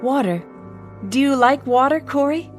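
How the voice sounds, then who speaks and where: A gentle android woman with a fairly high voice. She is naive and inquisitive, choosing simple, polite words in a sci-fi radio drama with faint music. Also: expressive, normal speed. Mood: curious. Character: gentle, naive female humanoid robot, newly activated. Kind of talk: science fiction audio drama acting.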